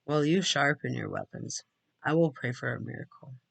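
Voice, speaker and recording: A man voicing a solemn, reproachful elderly clan mother in a storybook, measured and earnest. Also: medium pitch, lively intonation, creaky, fairly bright tone, slightly rough, no echo, full character voice. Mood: solemn, hopeful.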